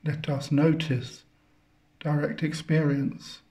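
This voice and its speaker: A man leading a guided meditation, speaking contemplatively in halting phrases with long pauses between them. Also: fairly high pitch, little pitch movement, warm tone. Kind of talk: guided meditation, spiritual talk.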